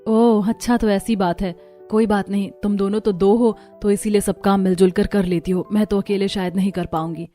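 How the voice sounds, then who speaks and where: A woman voicing a kind, polite young housewife in a cartoon story, gentle and earnest. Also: mid-range voice, fairly even intonation, moderate pace, soft background music, close to an everyday voice. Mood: resigned.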